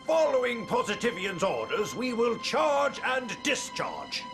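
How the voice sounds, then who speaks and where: A bossy little army commander from a kids' cartoon, very high in pitch and swinging widely as he barks formal orders. Music plays loudly behind him. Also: sing-song, unhurried, full character voice. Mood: commanding. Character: bossy male ion military commander drilling his troops.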